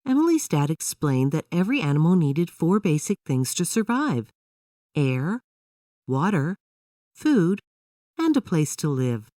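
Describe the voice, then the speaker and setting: A woman narrating a scripted children's story in an expressive, instructive way. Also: low voice, measured pace, no echo, studio quality, recorded from a distance.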